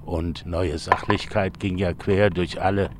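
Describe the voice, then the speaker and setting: A man leading the interview as a local guide, explaining things in an easygoing, unhurried way outdoors in a park. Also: deep voice, little pitch movement, background noise. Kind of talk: casual outdoor conversation.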